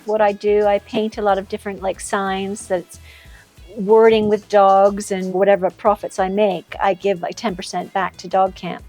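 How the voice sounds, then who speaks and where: A woman's voice: medium pitch, flat delivery, moderate pace, a little mellow, soft background music. Middle-aged woman chatting casually. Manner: chimes in briefly, echoes her partner's words. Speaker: interviewee. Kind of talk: casual interview. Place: outdoor covered patio, tropical garden.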